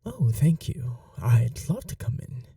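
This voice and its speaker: A performer playing a polite, obsessive vampire lab technician in an ASMR roleplay, murmuring coaxingly with softly menacing courtesy and breathy half-whispers. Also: medium pitch, big pitch swings, measured pace, far from the mic, heavy background noise. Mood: reassuring, coy.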